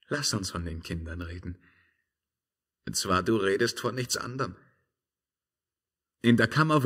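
An actor playing a brooding, tormented emperor in a 1950s German radio drama, husky, solemnly declaiming between breathy hush and fervour. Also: male voice, fairly low pitch. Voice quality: husky, with breathy drops into whisper. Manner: solemn, measured, swelling from hushed to fervent. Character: brooding Byzantine emperor, tormented, rapturous, newly freed from enchantment. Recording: 1950s radio play recording.

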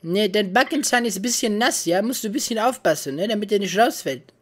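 A woman's voice: medium pitch, animated, quick pace. Young female streamer, prank caller, put-on broken German with a fake accent, desk mic at home. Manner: put-on broken German with a mock foreign accent, ends lines with "ja?". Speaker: streamer prank-calling strangers. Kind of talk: prank chat calls. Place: small room at home with coloured lights. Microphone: studio mic and headset at a desk.

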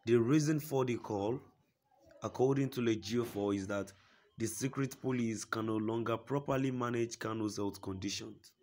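A man reading a news report aloud in a steady, formal way, quoting a statement at length. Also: mid-range voice, moderate pace. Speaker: news reader.

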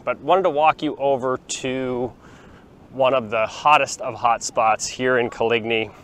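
A man in his forties walks and talks into a handheld phone outdoors, chatting through a relaxed tour with lots of ums and restarts. His voice sits at a middle pitch. Faint outdoor ambience and the odd passing car sit behind him. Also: unhurried, slight background noise. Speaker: vlogger showing a beach town. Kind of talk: casual walking tour talk to camera. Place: outdoors, sunny beachfront plaza and beach. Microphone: phone held at arm's length, small clip-on mic.

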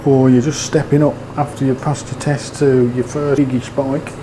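A man's voice: medium pitch, little pitch movement, moderate pace, noisy background. A man giving a casual, rambling motorbike review, with fillers and hesitations. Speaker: motovlogger.

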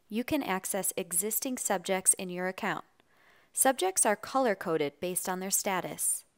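A woman reading scripted voice-over narration for a software tutorial, in an even, instructional, measured way. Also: medium pitch, clean tone.

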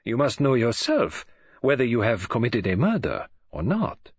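An older detective from a storybook, as a male narrator acts him out, fussy and courteous. His delivery is formal and gently commanding, swinging widely in pitch, with a husky edge. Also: wildly swooping pitch, normal speed, no room sound, muffled recording, heavily acted. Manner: formal, precise, politely commanding.

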